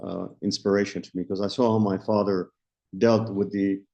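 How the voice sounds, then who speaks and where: A South African man around sixty, a company founder answering interview questions in a measured way, often restarting as he searches for words. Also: fairly low pitch, little pitch movement, gravelly, warm tone. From Johannesburg, South Africa. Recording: dull lo-fi recording.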